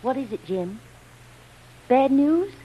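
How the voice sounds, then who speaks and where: An actress playing an earnest, determined young assistant in a vintage radio melodrama, with dramatic, emotive delivery. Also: female voice, high-pitched, big pitch swings, slow, phone-quality sound, exaggerated voice. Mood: shocked, worried. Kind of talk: 1930s-40s radio melodrama acting. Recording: faintly noisy old radio recording.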